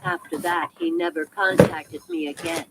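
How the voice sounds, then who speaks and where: A woman's voice: mid-range voice, sing-song, moderate pace, gravelly. A woman reading as an audiobook narrator in a scripted narration style, heard as a replayed recording. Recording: noisy replayed audiobook playback.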